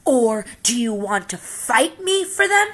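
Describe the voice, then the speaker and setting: Woman acting a loud, high-pitched grouchy little ladybug. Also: big pitch swings, a little slow, a little hiss or hum. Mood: angry, possessive. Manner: shouting, screeching, challenging. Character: grouchy, belligerent little ladybug itching to fight.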